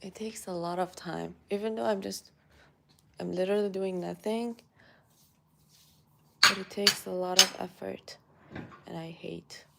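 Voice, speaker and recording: A woman's voice: medium pitch, steady tone, a little slow, creaky, long pauses, heavy background noise. A young woman from Iran with a Persian accent, chatting casually to viewers while having breakfast at home. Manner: chatty, thinks aloud while eating. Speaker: vlogger. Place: home kitchen, indoors.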